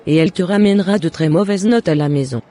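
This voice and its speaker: A man voicing a jealous, scheming mother and neighbour in an animated moral drama, with deliberate scripted phrasing. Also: high voice, natural voice. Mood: bitter, threatening. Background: faint music.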